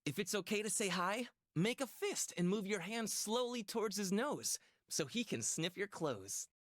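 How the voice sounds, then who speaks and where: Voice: medium pitch, lively intonation, normal speed, no room sound, studio quality, close to an everyday voice. A performer voicing a friendly cartoon child in a children's game, speaking earnest, instructive rhymes. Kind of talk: scripted rhyming children's game narration.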